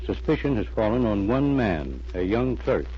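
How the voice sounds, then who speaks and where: An actor playing a stern, authoritative intelligence chief giving formal briefings in an old-time radio drama, on a muffled recording. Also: male voice, lowish voice, very animated, normal speed, slight background noise. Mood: grave, official.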